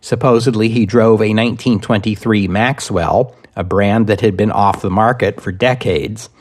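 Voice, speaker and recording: A man hosting and narrating a scripted history program, speaking in measured, deliberate phrases with pauses. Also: on the low side. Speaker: podcast host and narrator.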